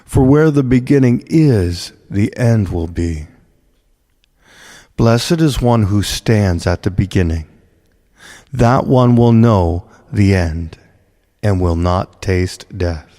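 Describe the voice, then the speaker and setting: A man reading a spiritual text as solemn scripture, with measured, deliberate and dramatic phrasing. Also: on the low side, expressive, mellow. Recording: long cavernous reverb.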